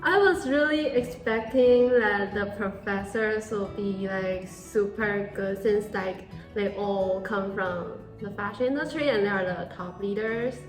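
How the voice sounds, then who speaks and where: A woman's voice: mid-range voice, measured pace, few pauses, reverberant, soft background music, poor audio. A woman in her twenties from Taiwan, a non-native English speaker who hosts a casual Q&A and fills her talk with "like". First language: Mandarin Chinese. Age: 20s. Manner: casual, many "like" fillers, switches between Mandarin and English. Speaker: host. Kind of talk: casual Q&A chat.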